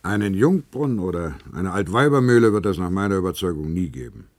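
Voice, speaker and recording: A male actor playing a blunt, patronizing older professor of medicine in a German radio play, with a husky voice. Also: deep voice, expressive, a little fast, darkish tone, no room sound. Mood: matter-of-fact, lecturing. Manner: patronizing, pragmatic, lecturing.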